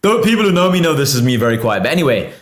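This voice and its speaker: A man's voice: medium pitch, very animated, rapid-fire. A man, a podcast guest, tired after a long flight, explaining his views persuasively. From United Kingdom. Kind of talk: casual podcast conversation. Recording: roomy echo.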